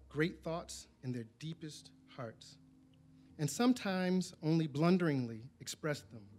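A man's voice: on the high side, darkish tone. A man in his forties reciting poetry at a podium microphone in an indoor event room. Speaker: community reader at a podium. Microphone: podium microphone on a stand. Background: faint background music.

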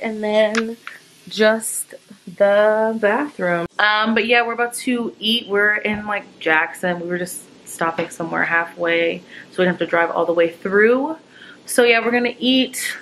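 A woman's voice: medium pitch, fairly bright tone, a little hiss or hum, low-quality recording. A young woman in her twenties giving a casual travel vlog tour of a hotel room, filming on a phone held at arm's length. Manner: chatty, listing things offhand. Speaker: travel vlogger.

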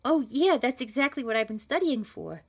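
A woman's voice: high voice, lively intonation, fairly quick, muffled recording. A woman playing an eager young job applicant in an audio roleplay, hesitant, gushing and nervously bubbly. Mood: excited. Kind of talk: scripted audio roleplay acting.

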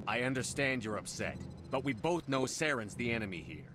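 An actor playing a firm, level-headed human commander in a video game, speaking in a steady, resolute way. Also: male voice, mid-range voice, a little fast, background noise, natural voice. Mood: stern. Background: music.

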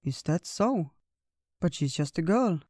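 A wise old bear from a storybook , as a man puts him on, gentle and unhurried. He speaks slowly and warmly, like a grandfather telling tales. The recording is dry and close. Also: fairly high pitch, animated, dry recording. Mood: kindly. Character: kindly wise old male bear, slow-spoken.

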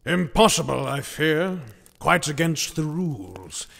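An actor playing a courteous, earnest knightly saint in an audiobook drama, with formal, theatrical, archaic phrasing. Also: male voice, on the high side, sing-song, measured pace, creaky, fairly bright tone, heavily acted. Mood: troubled, reasoning. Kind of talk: amateur audiobook drama acting. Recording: long hall reverb, faint music.

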